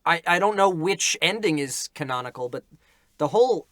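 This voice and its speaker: A man's voice: on the high side, expressive, moderate pace, fairly bright tone, no room sound, clean studio sound. A man who works as a voice actor, chatting casually on a question-and-answer livestream panel.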